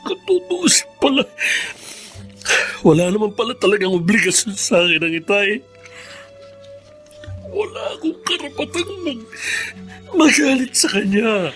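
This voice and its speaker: An actor playing a remorseful, troubled father in a Filipino radio drama, speaking in a halting, earnest, emotional way. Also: high voice, big pitch swings, a bit bright, soft background music, exaggerated voice. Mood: anguished, confessional. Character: remorseful adult father, troubled by a family secret.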